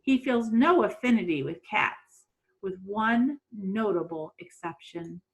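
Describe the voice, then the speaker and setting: A woman reading a children's picture book aloud in a dramatic, deliberate storytelling manner. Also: mid-range voice, lively intonation, poor audio. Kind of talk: expressive storybook read-aloud.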